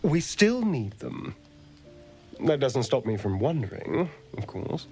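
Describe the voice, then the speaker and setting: An actor playing a flirtatious, refined vampire man in a video game, with a theatrical, teasing drawl and breathy asides. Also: mid-range voice, sing-song, slow pace, darkish tone, soft background music. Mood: sly, musing. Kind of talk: video game companion dialogue.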